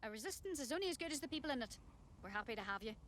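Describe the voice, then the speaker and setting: A woman's voice: high-pitched, expressive, very fast, fairly bright tone, slight background noise. A woman playing a determined, direct resistance operative in a video game, businesslike and terse. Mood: resolute, focused. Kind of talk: video game cutscene dialogue.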